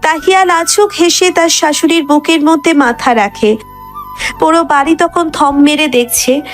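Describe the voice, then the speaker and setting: A woman narrates a dramatic scripted story in a high voice. Background music plays underneath her telling. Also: normal speed.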